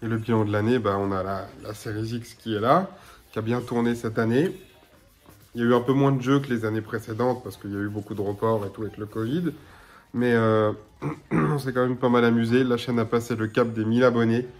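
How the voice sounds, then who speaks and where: A man in his thirties with a blocked nose and slightly hoarse voice, chatting casually to his webcam at home as a gaming YouTuber, rambling with lots of filler sounds. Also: mid-range voice, steady tone, brisk. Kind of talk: casual to-camera chat. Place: small room at home.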